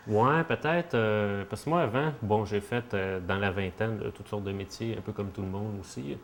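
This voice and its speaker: A man with a Québécois accent, a guest comic artist, answers interview questions in a relaxed, hesitant way with many fillers. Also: fairly low pitch, moderate pace, slight background noise.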